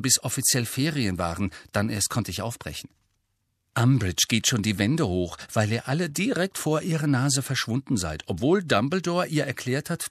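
A male narrator voicing a brisk, sensible, bossy teenage schoolgirl with a lecturing manner in an audiobook. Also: mid-range voice, breathy edge, no room sound, voice pushed up.

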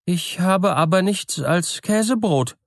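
A man acts out a storybook miller's wife in a very high, plaintive voice. She sweet-talks and wheedles with exaggerated innocence, her pitch swooping about. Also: animated, slow, dry recording, clean studio sound, heavily acted. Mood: wheedling, excusing.

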